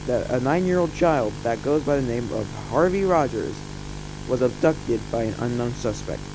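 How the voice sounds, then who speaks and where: Man acting as halting news anchor over loud hum. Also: medium pitch, close to an everyday voice. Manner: halting, stumbling delivery. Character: male local TV news anchor, flustered but formal. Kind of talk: breaking news report. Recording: noisy, humming engine-like background.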